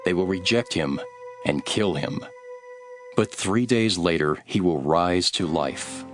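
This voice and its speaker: An actor playing a calm, grave, authoritative male teacher in a Bible audio drama, speaking in a measured way. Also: deep voice, faint music behind. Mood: grave, foreboding. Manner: measured, solemn.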